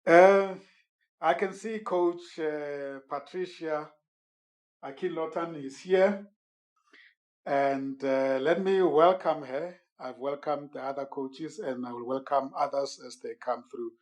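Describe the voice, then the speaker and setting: A man's voice: on the high side, steady tone. Man moderating a podcast. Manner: measured, courteous, with frequent word repeats. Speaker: host and moderator. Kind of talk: formal podcast interview.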